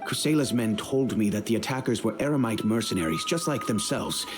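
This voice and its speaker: A man playing a grave, low-voiced family patriarch. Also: close to an everyday voice. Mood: solemn. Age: middle-aged. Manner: measured, solemn, storytelling. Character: grave wealthy middle-aged father, family head. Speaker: head of a noble family. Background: game music.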